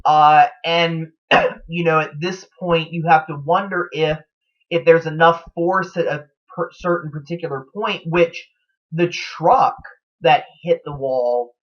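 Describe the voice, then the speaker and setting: A man's voice: fairly high pitch, steady tone, takes it slowly. A man giving casual, speculative commentary as a vlog host, in a hesitant, rambling manner. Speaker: host of a TV show theory vlog. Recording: dull, lo-fi recording.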